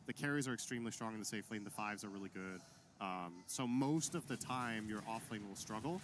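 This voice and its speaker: A man giving analytical commentary on a video game match, measured and explanatory, often pausing with ums. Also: fairly low pitch, slight background noise. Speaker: color analyst. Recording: broadcast commentary over game music.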